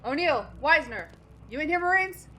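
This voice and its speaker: A woman's voice: high-pitched, animated, normal speed, sharp tone, a little hiss or hum. A woman acting as a wounded, commanding and stoic Marine sergeant in an audio drama. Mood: urgent.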